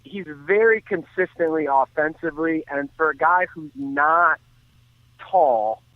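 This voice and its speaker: Male sports analyst calling in over a narrow phone line, dry sound, earnest and detailed, halting delivery with restarts. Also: on the high side, no echo. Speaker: baseball analyst and guest on a sports radio show. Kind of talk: off-the-cuff sports commentary.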